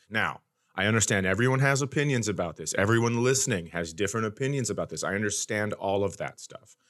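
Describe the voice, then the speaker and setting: A middle-aged American man with a fairly low voice hosts a comedy podcast. He tells stories in a casual, animated way, ranting bluntly and swearing as he goes.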